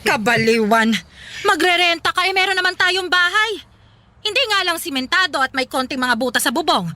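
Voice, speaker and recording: A woman's voice: extremely high pitch, sing-song, measured pace, pauses often, pushed and bright, full character voice. A woman acting a harsh, domineering older mother in a radio drama, scolding and mocking.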